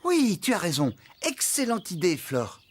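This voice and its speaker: An actor playing an excitable, good-natured, dreamy farmhand man in a children's cartoon, earnest and theatrical. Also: sits high, a little slow, exaggerated voice.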